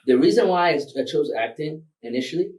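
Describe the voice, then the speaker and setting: A man's voice: fairly high pitch, expressive, quick pace, a little mellow, distant mic. A man from Rwanda, Kinyarwanda his first language, talking loosely as a filmmaker guest with many fillers and laughs. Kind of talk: casual interview.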